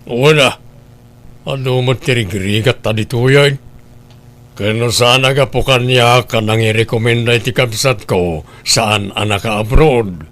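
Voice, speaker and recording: A stubborn grown man, voiced by a man in a radio drama, speaking at an ordinary middle pitch. He sounds firm and holds his ground. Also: measured pace, fairly bright tone, natural voice. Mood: defensive.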